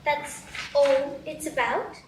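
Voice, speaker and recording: A woman's voice: high voice, takes it slowly. A woman playing a curious, persistent little girl in a live radio play, childlike and wondering, recorded from a distance with echo and noise. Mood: curious, pestering. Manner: questioning, childlike. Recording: echoey, distant stage pickup, clear noise.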